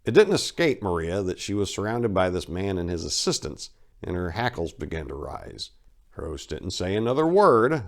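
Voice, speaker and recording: A man's voice: sits low, vocal fry. A man narrating a crime story as a dramatic reading.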